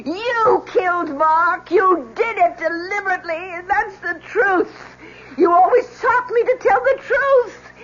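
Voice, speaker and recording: An actress playing a distraught, accusing daughter in an old-time radio drama, emotional and insistent. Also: female voice, very high voice, a little slow, a bit bright. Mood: anguished, tearful. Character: distraught daughter accusing her father of murder. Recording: dull, noisy old radio broadcast.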